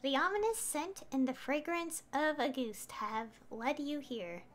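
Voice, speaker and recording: Female game streamer: high, animated voice, casual commentary and read-alouds, music behind. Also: measured pace.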